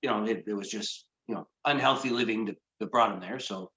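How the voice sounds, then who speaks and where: A joking man in his forties speaks as a guest, often restarting his sentences. His voice is bright and pushing. The call picks up a roomy echo. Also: lowish voice, a little fast, muffled recording. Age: 40s. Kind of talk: casual interview talk. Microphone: video call. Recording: echoey video-call audio.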